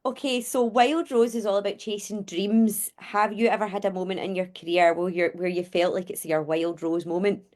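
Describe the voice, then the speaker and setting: A woman's voice: mid-range voice, steady tone, a little mellow. Woman, interviewer, encouraging, casual chat. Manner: friendly, encouraging, quick to agree.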